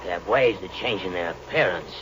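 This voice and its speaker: A man's voice: deep voice, fairly quick, fairly bright tone. An actor playing a deranged, menacing young man in an old American radio thriller, insistent and accusatory. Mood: quietly menacing. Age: young adult. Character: menacing, paranoid, deranged young male drifter with a knife. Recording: dull, noisy old radio recording.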